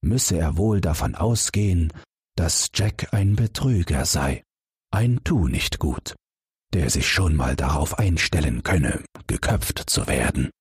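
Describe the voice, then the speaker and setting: A man reading a bedtime fairy tale aloud as an audiobook narrator, calm and expressive. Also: rumbling low, takes it slowly, breathy edge, no room sound, studio quality.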